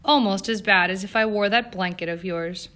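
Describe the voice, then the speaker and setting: A woman's voice: mid-range voice, normal speed, fairly bright tone, natural voice. A woman voicing a privileged young socialite in an audiobook, with an airy, self-assured, impatient manner. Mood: defensive, emphatic. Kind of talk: audiobook character acting.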